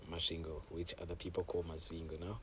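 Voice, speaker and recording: Deep, flat-voiced man telling stories. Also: fast, slight background noise. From Zimbabwe. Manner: relaxed storytelling with frequent fillers. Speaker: safari camp host. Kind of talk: conversational interview. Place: outdoors on a safari camp deck.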